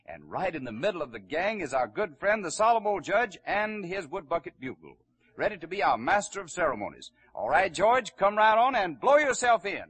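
A man's voice: high voice, big pitch swings, a little fast, fairly bright tone, husky. A man announcing a variety show in the style of 1940s radio, on a vintage broadcast recording. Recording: vintage 1940 radio broadcast, music behind. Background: music.

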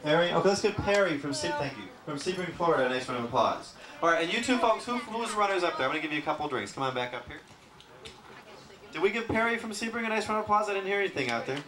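A man's voice: fairly high pitch, expressive, fast talker. A man hosting a contest on a cruise ship, asking names and urging the crowd to applaud. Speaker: ship entertainment host. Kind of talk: stage emcee. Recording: roomy, noisy, from far.

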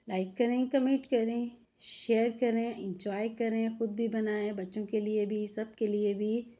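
A woman's voice: mid-range voice, dark tone. A woman, a mother hosting her cooking channel, chats homely and directly to viewers while narrating a simple recipe. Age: adult mother. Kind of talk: home cooking tutorial voice-over.

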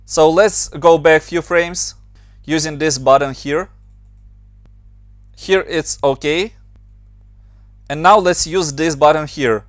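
Ukrainian-accented male tutor, a bit higher voice, very dry close mic, patient step-by-step walkthrough. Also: steady tone, takes it slowly, pauses often, dry recording. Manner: plain, step-by-step instructions with slightly non-native grammar. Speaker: motion designer and tutorial maker. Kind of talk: screen-recorded software tutorial.

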